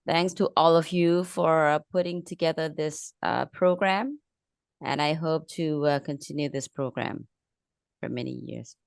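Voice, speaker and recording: Association president, woman, low and gravelly, dry sound, gracious welcome. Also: a little slow, no echo. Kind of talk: formal welcome.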